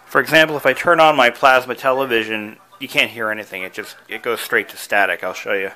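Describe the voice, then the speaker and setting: A man's voice: mid-range voice, fairly even intonation, fast, sharp tone, low-quality recording. A man presenting a casual hobby tutorial in a conversational, enthusiastic way.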